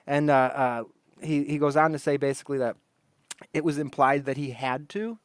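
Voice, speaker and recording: Brief: man, casual podcast co-host, fairly high voice, dry close sound, loose rambling storyteller who laughs a lot. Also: moderate pace, clean tone, no room sound. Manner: rambling storyteller, laughs often, acts out quotes.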